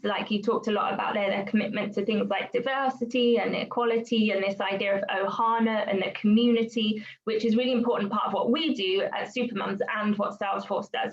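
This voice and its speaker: Lady interviewer chatting away, mid pitch, bit of a muffled lo-fi sound. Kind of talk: conversational interviewing. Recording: slightly dull, lo-fi audio.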